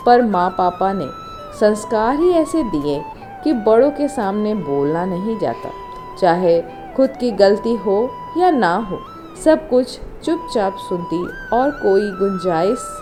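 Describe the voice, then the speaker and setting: A woman narrating an emotional family story as a storyteller, in a measured, expressive way. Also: mid-range voice. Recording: music under the voice. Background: instrumental music.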